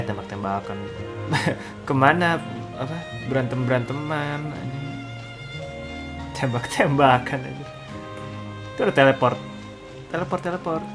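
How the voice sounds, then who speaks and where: An Indonesian man commenting on a game as he plays. His mid-range voice is casual and joking, muttering slang and swearing, with clear music in the background. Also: medium pitch. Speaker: gaming streamer.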